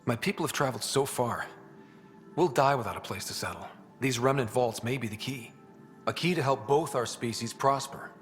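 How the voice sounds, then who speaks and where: A man's voice: medium pitch, moderate pace, fairly bright tone, soft background music, close to an everyday voice. A man playing an earnest, easygoing young human expedition leader in a video game, with a conversational delivery. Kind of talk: naturalistic video game acting.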